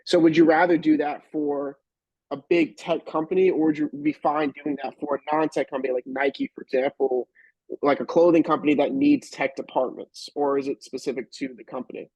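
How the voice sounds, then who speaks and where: A man's voice: a little high, fairly even intonation, fairly quick, a little mellow, slightly rough, no room sound, highs cut off. A man hosting an interview podcast, asking long, rambling questions with enthusiasm and frequent restarts. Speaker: podcast host.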